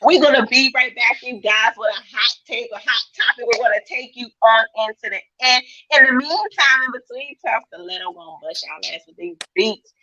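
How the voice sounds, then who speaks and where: A woman's voice: on the high side, a little fast, sharp tone, no room sound, highs cut off. A woman hosting a radio show in casual, playful, slangy banter.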